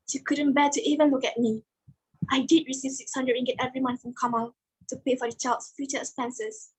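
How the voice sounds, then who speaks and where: A woman's voice: a little high, breathy edge, poor audio. A woman playing a heartbroken, humiliated young village woman telling her story in a dramatic reading. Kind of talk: dramatic monologue in a play reading.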